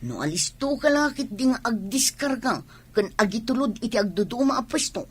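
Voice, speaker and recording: A woman playing a devoted, hardworking young spouse with a husky voice and an Ilocano accent in a radio drama. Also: on the high side, very animated, brisk, slight background noise. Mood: affectionate.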